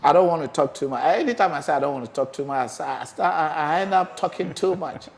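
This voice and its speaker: Animated Ghanaian man hosting. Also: fairly high pitch, quick pace, gravelly. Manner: animated, repeats phrases, plugs the channel. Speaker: host. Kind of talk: casual interview chat.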